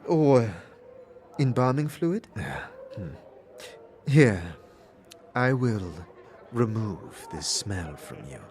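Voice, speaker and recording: A man's voice: medium pitch, sing-song, very slow, long pauses, a little mellow. A man doing a silky, flirtatious sorceress. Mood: teasing. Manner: silky, teasing, formal old-fashioned speech. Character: elegant, flirtatious female sorceress. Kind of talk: fan audio drama acting.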